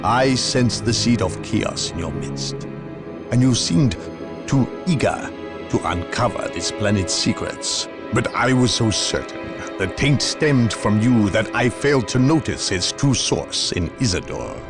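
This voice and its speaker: A man playing a severe, measured inquisitor in a video game cutscene, speaking formally and deliberately. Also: mid-range voice, expressive, over loud music. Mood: grave, contrite. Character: solemn male inquisitor, severe, measured and suspicious.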